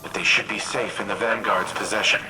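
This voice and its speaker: A man voicing a grave, remorseful artificial war intelligence in a video game, speaking formally and with measured deliberation. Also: on the low side, steady tone, natural voice, poor audio. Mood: grateful, formal. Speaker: military AI ally. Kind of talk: video game cutscene monologue. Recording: loud music behind the voice.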